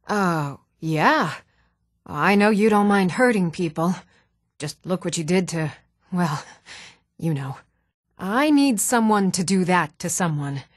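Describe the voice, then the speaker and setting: An actress voicing an even-tempered townswoman in a fantasy video game. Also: lowish voice, no echo, clean studio sound. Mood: hesitant, scheming. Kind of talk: video game character dialogue.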